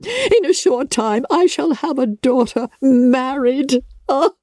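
A woman voicing a giddy, excitable middle-aged mother in a classic-novel audiobook, gushing with fussy, fluttery exclamations. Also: sits high, slow pace, no echo, studio quality, voice pushed up, exaggerated voice. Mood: happy flutter.

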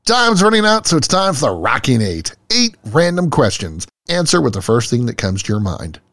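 A man hosting an interview, reading scripted questions with lively, announcer-like delivery. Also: medium pitch, moderate pace, no room sound. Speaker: interview host. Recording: dry sound with faint music behind.

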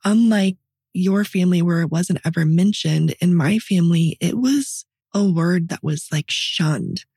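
A woman with a raspy voice, co-hosting a podcast and telling personal stories in a candid, reflective, conversational way. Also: lowish voice, unhurried, dark tone, no room sound, studio quality. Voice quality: raspy voice from partly paralyzed vocal cords. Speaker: podcast co-host. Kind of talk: personal storytelling in casual podcast chat.

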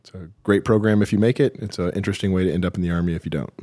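A man being interviewed as a military veteran, telling his story haltingly with self-deprecating, dry humour. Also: low-pitched, steady tone, warm tone. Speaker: podcast guest, military veteran.

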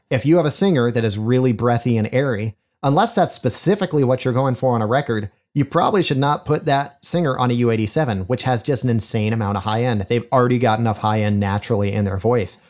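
A man's voice: medium pitch, a little mellow. Nasal honky guy chatting on a podcast, explains stuff, pokes fun at himself. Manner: chatty, explaining, self-deprecating. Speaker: podcast guest, microphone designer. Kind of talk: casual interview chat. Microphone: studio condenser mic in figure-eight pattern.

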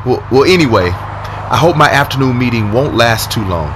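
A man acting as a confident, flirtatious senator in an audio drama, courteous and smooth-talking. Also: medium pitch, lively intonation, moderate pace, background noise, close to an everyday voice. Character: smitten, charming male senator, confident and flirtatious.

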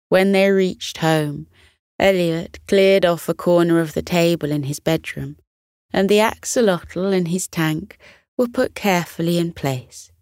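A woman narrating a children's bedtime story in a soothing, deliberate, expressive storytelling style. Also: lowish voice, no echo, studio quality.

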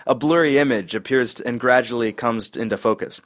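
A man reads screenplay directions aloud at a table read. His pitch is average for a man, and he keeps the delivery casual and lightly amused. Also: a little fast, a bit bright. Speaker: reader of stage directions. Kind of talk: scripted screenplay narration.

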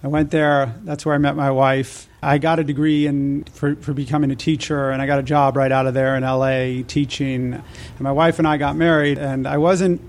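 A man's voice: mid-range voice, flat delivery, moderate pace. A man from the United States, a podcast guest telling his own story in a conversational way. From United States (New Mexico and Nevada).

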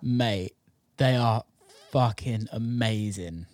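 A man's voice: fairly low pitch, slow, a little mellow, slight background noise. A British man chatting casually and informally, enthusiastic and given to swearing. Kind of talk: casual chat about food.

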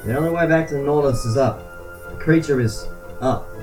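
A man's voice: fairly high pitch, fairly quick, darkish tone, faint music behind, natural voice. A man acting in an amateur audio drama as a cautious, nervous diver with a casual, wary way of talking. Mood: uneasy.

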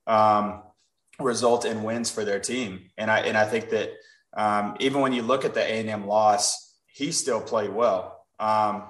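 A man's voice: fairly low pitch, fairly even intonation, a little slow, fairly bright tone, distant mic. A man co-hosting a sports podcast, chatting casually, often restarting words and joking. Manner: hesitant, repeats words, jokey. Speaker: podcast co-host. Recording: roomy echo.

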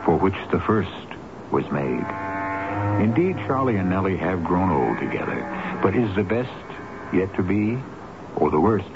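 A man hosting a radio drama with scripted narration, measured, literary and ominous in manner. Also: low voice, very animated, vocal fry. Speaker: host and narrator. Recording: very noisy, muffled old radio broadcast.

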